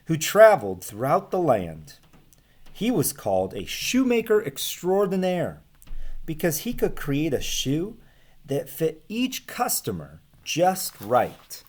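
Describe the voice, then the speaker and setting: An American man in his thirties teaching casually to camera, explaining things in plain, simple words with pauses. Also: a little high, huge pitch swings, a little mellow. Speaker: teacher reading aloud to students. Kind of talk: casual read-aloud lesson to camera. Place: small room at home with bookshelves. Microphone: webcam or laptop microphone at desk distance.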